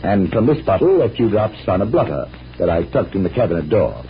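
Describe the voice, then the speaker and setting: A man playing a calm, assured magician detective, measured and instructive, in old-time radio drama style. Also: on the low side, soft background music. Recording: muffled vintage radio recording.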